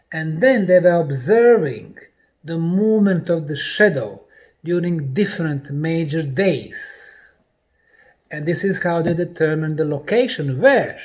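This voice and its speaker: A man delivering a scripted documentary presentation in deliberate, emphatic phrases broken by pauses. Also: on the high side. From Bosnia.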